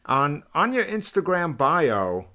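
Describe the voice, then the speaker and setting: A man interviewing a guest in a relaxed, friendly way, stammering a little as he talks. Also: a little high, slow. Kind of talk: casual interview.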